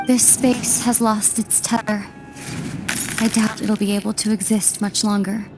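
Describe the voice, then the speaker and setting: A woman playing a solemn, weary young princess and long-lost sister in a video game, softly and with measured delivery. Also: medium pitch, flat delivery, music in the background, close to an everyday voice, low-quality recording. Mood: grave. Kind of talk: dramatic video game acting.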